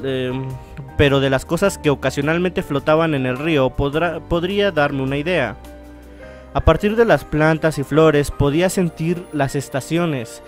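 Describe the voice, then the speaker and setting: A man with a slightly high voice hosts a visual novel let's-play. He talks casually and reads on-screen text aloud, stumbling and restarting phrases. Soft game music plays behind him. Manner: halting read-aloud with stumbles and self-corrections. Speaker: gaming channel host.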